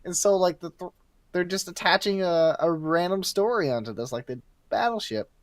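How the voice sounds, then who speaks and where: A man's voice: sits high, expressive, moderate pace, no room sound. A man talking as a podcast guest in casual, opinionated, emphatic chat. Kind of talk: casual chat about games and movies.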